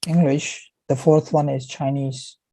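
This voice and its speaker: Slow, very dark-voiced young man. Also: fairly high pitch, steady tone, poor audio. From Pakistan. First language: Urdu. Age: young adult. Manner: halting, with frequent restarts. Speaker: guest speaker answering viewer questions. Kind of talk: casual question-and-answer chat.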